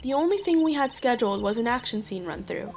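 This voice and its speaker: A woman plays an earnest young female props assistant from a video game. She has a high voice and a polite, slightly hesitant way of explaining things, with faint music behind her. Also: lively intonation, quick pace, gravelly, a little mellow. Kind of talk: fan-dub game character acting. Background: background music.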